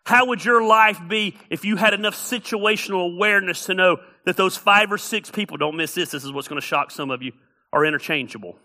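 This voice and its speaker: Bright, high-voiced preaching man. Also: a little fast. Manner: emphatic, repeats key phrases, rises to loud peaks. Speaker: pastor. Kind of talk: sermon.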